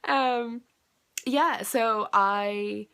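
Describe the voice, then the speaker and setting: Gravelly South Dublin Irish woman with a cold. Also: a little high, drawn-out words, fairly bright tone. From Ireland. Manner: rambling, lots of "like" and "um", casual swearing. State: has a cold, congested. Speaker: vlogger. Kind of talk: casual chat answering a video challenge. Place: in bed at home at night.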